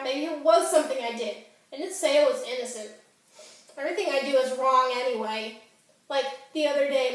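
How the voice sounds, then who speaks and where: A woman's voice: sits high, darkish tone, close to an everyday voice. A woman performing a theatrical monologue as an angry, wounded fifteen-year-old girl, melodramatic and sarcastic. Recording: echoey room, distant microphone.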